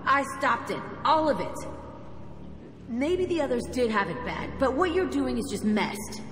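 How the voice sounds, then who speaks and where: A woman's voice: high-pitched, very animated, measured pace. A woman playing a hardened, foul-mouthed, wounded young ex-prisoner in a video game, blunt and defiant in delivery. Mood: furious. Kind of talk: video game cutscene acting. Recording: echoey hall, clear background noise.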